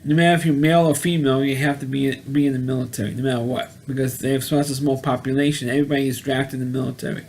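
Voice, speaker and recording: A man giving a casual, unscripted video review as host, rambling and halting, often repeating words and phrases. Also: mid-range voice, flat delivery, darkish tone, a little hiss or hum.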